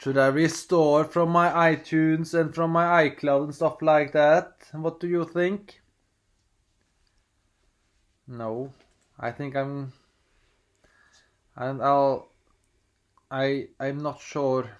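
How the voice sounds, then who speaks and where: A man's voice: fairly high pitch, fairly even intonation, takes it slowly, long pauses. A man from Norway with a Norwegian accent, chatting casually at home while unboxing, rambling and hesitant with many restarts, reading screen prompts aloud. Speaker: vlogger.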